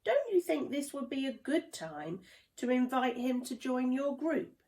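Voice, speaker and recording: A woman voicing a kindly, wise lion in a children's storybook, speaking gently and earnestly to persuade. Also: sits high, sing-song, unhurried, voice pushed up, exaggerated voice. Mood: kindly, encouraging. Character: kindly lion, wise peacemaker among animal friends.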